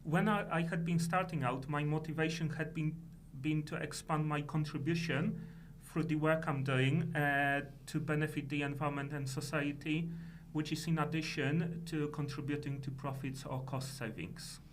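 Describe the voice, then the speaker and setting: A middle-aged man from Poland with a Polish accent, answering interview questions as a sustainability manager in halting, measured phrases. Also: on the high side, fairly even intonation, a little hiss or hum.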